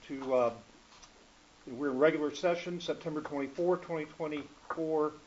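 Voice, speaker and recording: A man chairing a college board meeting, casual and a bit halting. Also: a little high, fairly even intonation, a little mellow. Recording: dull noisy meeting recording.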